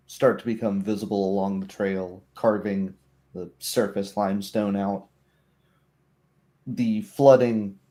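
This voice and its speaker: A man working as game master, narrating scenes for a tabletop roleplay in a measured, descriptive way. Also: lowish voice, mellow.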